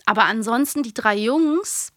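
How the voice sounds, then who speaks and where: A woman's voice: a little high, animated, unhurried, a bit bright, no echo, clean studio sound. A woman hosting a magazine show in casual review chat. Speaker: audio drama magazine host. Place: close, dry recording.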